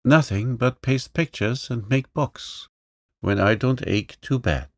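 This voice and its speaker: Male reader doing a humble lame boy in a storybook: meek, higher voice, slow. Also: no echo, clean studio sound. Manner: humble, plain-spoken, says "ain't"-style slang. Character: poor lame young boy, meek and cheerful.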